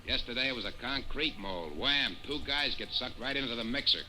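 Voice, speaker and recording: A man's voice: mid-range voice, lively intonation, fairly quick, pushed and bright. An actor playing a blunt, chatty working-class male security guard in an old-time radio drama, slangy and clipped. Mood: bewildered, emphatic. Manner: clipped, slangy tough talk. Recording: noisy old radio recording.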